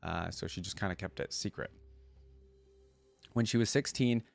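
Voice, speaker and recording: A man's voice: sits low, vocal fry. A man hosting a reaction video, mixing read-aloud passages with casual, conversational commentary. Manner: conversational, pauses reading to comment.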